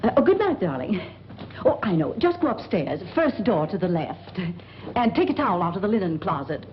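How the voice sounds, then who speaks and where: A woman's voice: mid-range voice, big pitch swings, normal speed, natural voice. An actress playing a scatterbrained, kindly, chattering landlady in a 1940s film. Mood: flustered, kindly. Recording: muffled old film soundtrack with hiss.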